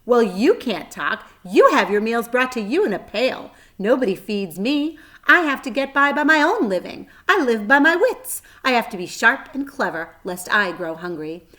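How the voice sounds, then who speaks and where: A woman's voice: a little high, very animated, normal speed, few pauses, fairly bright tone, voice pushed up. A woman voicing a clever, kindly female spider, articulate and matter-of-fact, with a pleasant thin voice. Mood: earnest.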